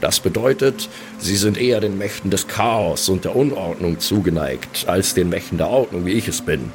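A man's voice: low-pitched, normal speed, slight background noise. A man playing a grave, vengeful dwarf cleric who speaks in a declamatory manner in an improvised fantasy game. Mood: grave, lecturing. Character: solemn, vengeful dwarf cleric of a god of order.

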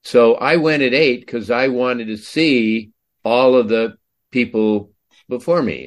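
A man's voice: medium pitch, a bit bright. A man hosting a podcast, chatting casually and telling a story with incredulous asides. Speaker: podcast host. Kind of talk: casual interview chat.

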